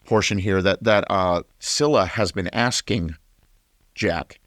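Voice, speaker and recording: Male author as radio guest, acted: deep register, earnest, deliberate, stop-and-start explanations, close dry recording. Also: a bit bright, no echo. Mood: earnest, explanatory. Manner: halting, deliberate, emphatic explaining. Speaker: interview guest. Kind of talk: late-night radio interview commentary.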